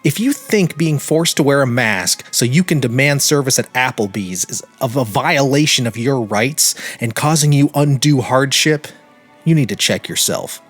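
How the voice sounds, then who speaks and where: An American man speaks as a podcast host in a medium-pitched voice. He phrases things deliberately and earnestly, leaning on key words for emphasis. Music plays softly behind him. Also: breathy edge. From United States. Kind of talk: podcast introduction and personal commentary. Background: background music.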